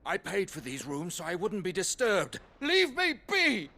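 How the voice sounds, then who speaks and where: A man's voice: huge pitch swings, measured pace, sharp tone, heavily acted. A man playing a haughty, easily enraged nobleman in a video game, bursting out with indignation. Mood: outraged, frantic. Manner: indignant, shrill outbursts. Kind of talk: video game cutscene acting.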